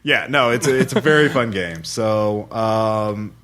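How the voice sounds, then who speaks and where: Man chatting casually, rambling and halting. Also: on the low side, a bit bright. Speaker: podcast host. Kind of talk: casual chat about games.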